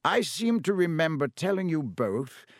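A man voicing a kindly, wise old male wizard headmaster, gentle and measured. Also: on the high side, very animated, dry recording, clean studio sound, voice pushed up.

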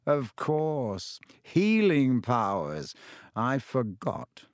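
A man acts out a young dark wizard villain from a storybook, cold and sinister. He gloats and taunts, stretching out his menace in slow phrases. The sound is dry and close, with no room around it. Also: medium pitch, sing-song, clear, resonant tone, dry recording. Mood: quiet, realizing. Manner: taunting, menacing, drawn-out delivery.

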